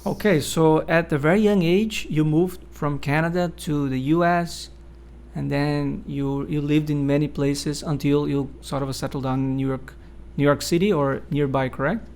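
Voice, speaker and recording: A man hosting an interview, politely introducing his guest and asking measured, confirming questions. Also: a little high, fairly even intonation, darkish tone, clear, resonant tone. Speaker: interview host.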